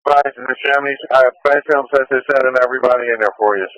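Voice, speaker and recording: A man's voice: medium pitch, fairly even intonation, racing, a bit bright, no room sound. A man coordinating police units over a radio channel, relaying information to officers. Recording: dull lo-fi police scanner radio.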